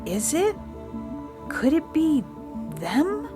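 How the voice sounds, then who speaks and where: A woman plays a young girl in an audio story, hurt and a little sour. The voice sits higher than a relaxed reading, with music running clearly behind it. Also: extremely slow, heavily acted. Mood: tentative, hopeful. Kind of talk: audio fiction dialogue.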